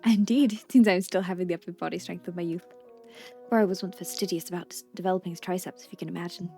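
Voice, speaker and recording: A woman voicing a kindly male tea shop owner who speaks with formal, quaint, gently polite phrasing. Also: on the low side, fairly even intonation, quick pace, soft background music, pitched below the performer's own voice, natural voice. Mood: calm, courteous.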